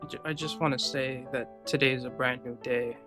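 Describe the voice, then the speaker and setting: A woman giving casual, low-key commentary while streaming a video game. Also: fairly even intonation, brisk, music in the background.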